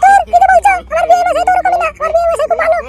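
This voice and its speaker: Man as a squeaky, extremely high cartoon girl. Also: steady tone, very fast, heavy background noise. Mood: coy. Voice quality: squeaky, artificially thin.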